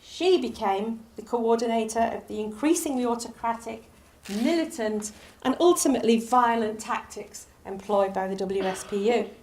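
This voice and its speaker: Woman, Northern English accent, public lecture, fairly high voice, animated delivery. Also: moderate pace. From Northern England. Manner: animated, rises on names, drops low on asides. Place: lecture hall.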